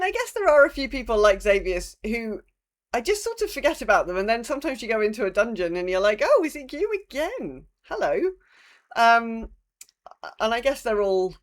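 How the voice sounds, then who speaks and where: Casual, rambling woman podcast co-host. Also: mid-range voice. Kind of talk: casual chat about a video game.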